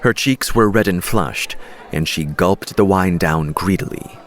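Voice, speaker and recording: A man's voice: low-pitched, measured pace. A man narrating a scripted story as an audiobook narrator.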